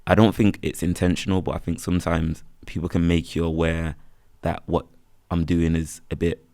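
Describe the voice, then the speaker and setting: A young man with a Birmingham accent, chatting in a relaxed, rambling way as an interview guest. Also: deep voice, normal speed, gravelly, dry recording, studio quality. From England. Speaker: guest, freelance photographer. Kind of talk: casual interview chat.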